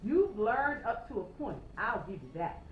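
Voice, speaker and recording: A stern old sheep farmer from a children's storybook, acted out by a woman, gruff but caring. The delivery is commanding and emphatic, with big swings in pitch. The recording is roomy with faint noise. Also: very animated. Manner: commanding, emphatic, measured instruction. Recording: roomy, slightly noisy, dull home recording.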